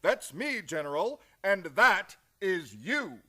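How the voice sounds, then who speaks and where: A boisterous, deluded gentleman who thinks himself president in a stage radio play. His voice is high, ringing and wildly animated, full of pompous exclamation. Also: slow pace, heavily acted. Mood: excited.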